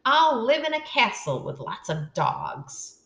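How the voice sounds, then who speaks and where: A woman's voice: fairly high pitch, very animated, slow, fairly bright tone, voice pushed up, heavily acted. An older woman voicing a dreamy, somewhat boastful rich young girl in a storybook. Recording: roomy echo.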